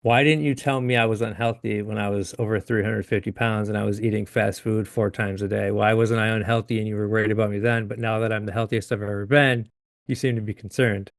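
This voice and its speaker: A man in his late thirties, a guest in a casual interview, talking in a halting way with frequent restarts and easy laughs. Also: lowish voice, fairly even intonation, gravelly, dry recording. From Upstate New York. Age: 30s.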